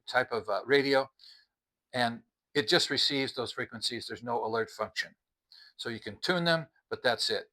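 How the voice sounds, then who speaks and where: Bright-voiced man giving a product review. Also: mid-range voice. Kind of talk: casual explanatory product review.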